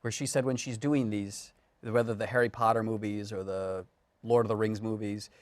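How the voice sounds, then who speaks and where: A man's voice: fairly low pitch, steady tone, moderate pace, no echo. A man interviewing guests casually as the host of a studio talk show. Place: television studio.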